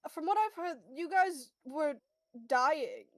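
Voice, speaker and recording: A woman playing an earnest, grieving young man in a tabletop game, halting and repeating words as tears are held back. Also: high voice, no echo. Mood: fragile, grieving.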